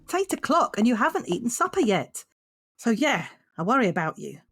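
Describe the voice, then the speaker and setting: Exasperated daughter, very lively pitch. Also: female voice, a little fast, breathy edge, exaggerated voice. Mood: worried, exasperated. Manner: nagging, exasperated. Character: exasperated, caring adult daughter of a widow. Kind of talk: naturalistic audio drama acting.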